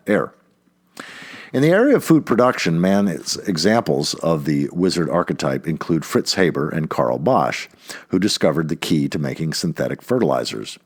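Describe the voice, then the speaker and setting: Deep, husky male reader, lively pitch. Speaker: reader of an essay. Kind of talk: read-aloud essay narration.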